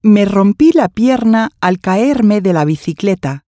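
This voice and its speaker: A woman reading scripted language-course dialogue, enunciating each phrase clearly. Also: mid-range voice, expressive, slow, mellow, dry recording, studio quality.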